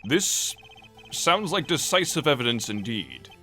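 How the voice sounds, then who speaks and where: A man's voice: a little high, sing-song, measured pace, bright, forceful tone, soft background music, heavily acted. A man voicing a dignified, easily swayed elderly judge, formal and a little flustered, in an amateur game dub. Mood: solemn. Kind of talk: amateur video game fandub acting.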